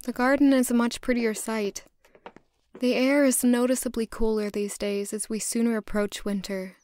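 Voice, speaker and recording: A woman playing a gentle, self-doubting young castle maid in an audio drama, speaking softly and earnestly. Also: a little high, fairly even intonation, normal speed, close to an everyday voice. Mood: pensive. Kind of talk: audio drama acting with intimate first-person narration.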